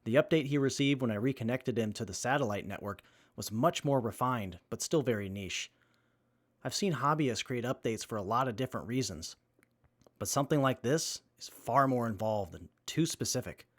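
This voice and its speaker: Guy playing a brainy wasteland mechanic, average pitch, explains tech stuff carefully, audio drama. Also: lively intonation, normal speed, natural voice. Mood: explanatory. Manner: precise, technical, explanatory. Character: analytical male wasteland tinkerer who maintains robots. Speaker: robot mechanic.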